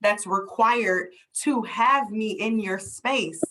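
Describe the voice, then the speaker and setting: A woman's voice: mid-range voice, takes it slowly, fairly bright tone, low-quality recording. A woman hosting a conversation, explaining passionately and emphatically with everyday scenarios and rhetorical questions. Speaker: host, DEI consultant.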